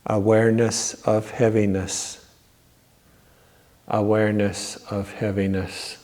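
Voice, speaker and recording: Very slow, mellow man guiding meditation. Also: on the low side, flat delivery. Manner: measured, repetitive, instructing. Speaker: guided meditation teacher. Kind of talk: yoga nidra guided meditation. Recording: long reverberant echo on voice.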